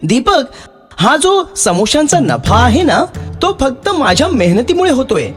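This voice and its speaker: Man acting selfish young village hawker for a kids' story: very high, animated, emphatic, music underneath. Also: a little slow, heavily acted. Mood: greedy, resentful. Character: young village samosa seller, selfish, cocky brother.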